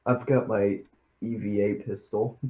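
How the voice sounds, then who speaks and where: A man with a fairly low voice joins in a tabletop game. He speaks in casual, conversational remarks as one of the players. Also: flat delivery, measured pace, mellow, dull audio.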